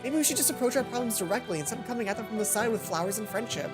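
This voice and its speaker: A woman voicing a bubbly, encouraging female student in a visual novel, animated and emphatic. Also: on the high side, very fast, lightly acted. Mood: earnest encouraging. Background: game music behind the voice.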